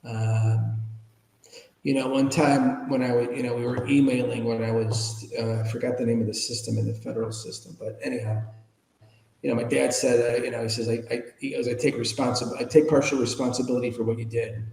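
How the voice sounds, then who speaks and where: A man in his fifties or older telling personal stories conversationally as an interview guest, informal and animated, often asking rhetorical questions. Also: mid-range voice, a little fast, gravelly. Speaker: interview guest, public speaker. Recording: echoey room with long reverb.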